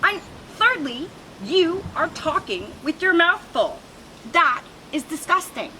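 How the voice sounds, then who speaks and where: A woman voicing a grumpy, fussy male lizard who scolds in a haughty, drawn-out way. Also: very high voice, big pitch swings, bright, forceful tone, a little hiss or hum, voice pushed up, full character voice, rough recording. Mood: scolding.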